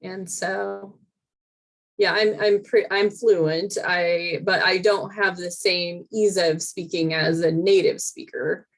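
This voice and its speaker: A woman's voice: on the low side, fairly even intonation, slow, low-quality recording. A woman guest on a podcast, a teacher and comedian, talking casually and thoughtfully with many fillers and restarts. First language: English. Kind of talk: casual interview chat.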